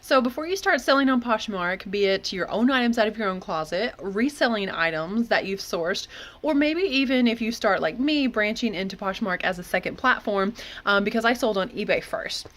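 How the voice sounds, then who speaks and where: A woman's voice: medium pitch, animated, moderate pace, a bit bright. A woman with a slightly nasal head cold, chatting casually as a reseller vlogger giving straight-to-the-point tips. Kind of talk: casual how-to vlog.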